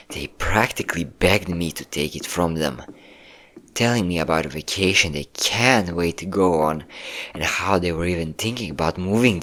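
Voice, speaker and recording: A man's voice: low voice, a bit bright. A man playing a possessive, manipulative ex-boyfriend in a dramatic ASMR roleplay, coaxing with pet names and sweet-talking menace. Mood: menacing, smug. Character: possessive, manipulative yandere ex-boyfriend hunting his hidden partner.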